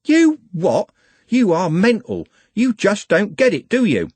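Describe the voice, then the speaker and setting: A man voicing a shrill, furious teenage boy. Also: wildly swooping pitch, fast talker, no echo, heavily acted. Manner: screaming, high-pitched outbursts.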